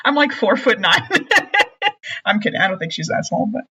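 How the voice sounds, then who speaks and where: A woman's voice: medium pitch, expressive, normal speed, background noise. An American woman playing a tabletop roleplaying game, chatting in a playful way and often correcting herself. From United States. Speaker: player. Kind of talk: actual-play tabletop game. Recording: dull lo-fi audio.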